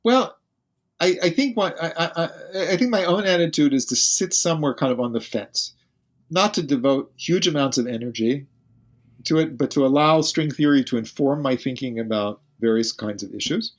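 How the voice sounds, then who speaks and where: A man's voice: a little high, lively intonation, moderate pace. A male physicist, a guest on a podcast interview, who explains carefully and thinks aloud as he speaks.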